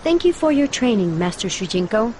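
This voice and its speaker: A woman's voice: a little high, sing-song, fairly quick, over music. A woman playing a proud, determined young village warrior in a video game, speaking formally and earnestly. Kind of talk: video game cutscene acting.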